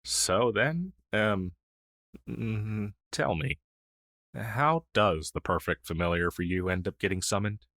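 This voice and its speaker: A man playing a gentle, wistful old crow familiar in an ASMR roleplay, speaking hesitantly and softly with sighs. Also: on the low side, expressive, dry recording, studio quality, full character voice. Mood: intrigued. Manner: hesitant, halting, soft-spoken with sighs.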